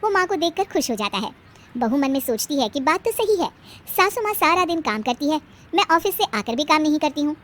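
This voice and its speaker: A woman reading scripted narration as a storyteller for an animated family tale. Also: high voice, a little fast.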